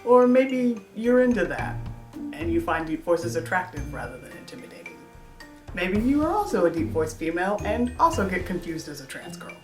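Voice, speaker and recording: A young woman with a deep contralto voice, talking casually and dryly to camera in a self-mocking vlog. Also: big pitch swings, moderate pace. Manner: dry, self-deprecating humor. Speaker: YouTuber. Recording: echoey room, mic at a distance, music behind.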